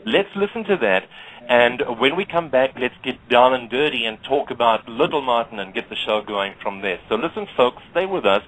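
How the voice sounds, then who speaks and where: A man's voice: mid-range voice, lively intonation, normal speed, fairly bright tone, low-quality recording. A man from South Africa with a South African accent, hosting an internet radio show and praising the music effusively. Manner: effusive, promotional. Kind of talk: enthusiastic radio chat.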